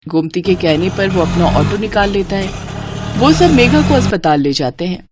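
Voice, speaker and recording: A woman tells a scripted animated moral story at an even, mid-range pitch. Her narration is steady and plain, carrying the plot along without fuss. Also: moderate pace, noisy background.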